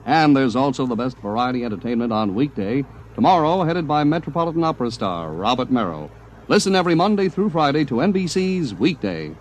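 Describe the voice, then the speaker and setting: Lively 1950s male radio announcer. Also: mid-range voice, a little fast, slight background noise, poor audio.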